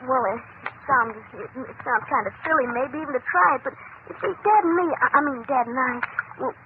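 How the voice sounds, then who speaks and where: A performer playing an earnest, wounded young boy with a halting manner in an old-time radio drama. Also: high-pitched, expressive. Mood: shy, hopeful. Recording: scratchy, muffled old-time radio recording.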